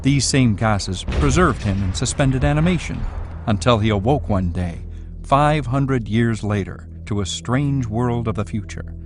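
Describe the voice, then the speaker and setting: A man narrates a scripted documentary as its presenter. His voice sits at a middle pitch, with music clearly playing behind it. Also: animated, measured pace.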